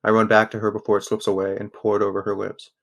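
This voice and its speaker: A young man playing a guarded, sardonic teenage boy who narrates a grim audio drama in a subdued, even voice. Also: on the low side, fast talker, vocal fry. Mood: somber. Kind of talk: first-person scripted narration, audio drama.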